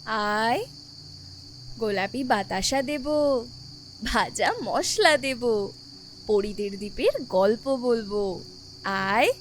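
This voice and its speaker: A woman playing a kindly but bossy older grandmotherly figure in an audio story, with a drawn-out, coaxing delivery. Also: fairly high pitch, animated, slight background noise. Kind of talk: dramatized audio story acting.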